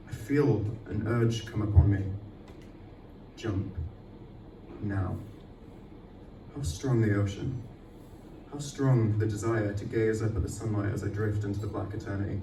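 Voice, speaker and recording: A man's voice: fairly low pitch, fairly even intonation, unhurried, pauses often. Man performing poetry, echoey and distant. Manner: sweary, self-deprecating. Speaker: poet performing own work. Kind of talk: live poetry reading with casual banter. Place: indoor arts night venue, live event. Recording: echoey live venue, distant mic, faint noise.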